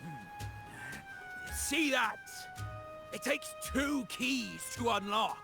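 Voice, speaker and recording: A man's voice: high-pitched, wildly swooping pitch, very slow, gravelly, over music, full character voice. A man voicing a cowardly, greedy pirate captain in a video game, theatrical and wheedling, stammering as he bargains.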